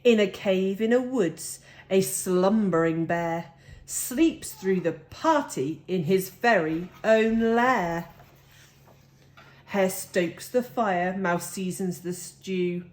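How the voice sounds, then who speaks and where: A woman reading a children's picture book aloud with deliberate, dramatic phrasing. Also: medium pitch, a little mellow. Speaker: storybook reader.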